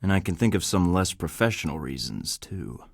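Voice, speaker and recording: A deep-voiced, cocky American spy. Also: male voice, big pitch swings, normal speed, gravelly, no room sound, studio quality, full character voice. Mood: flirty. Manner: wisecracking, flirty and menacing by turns. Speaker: game protagonist. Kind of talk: video game voice acting.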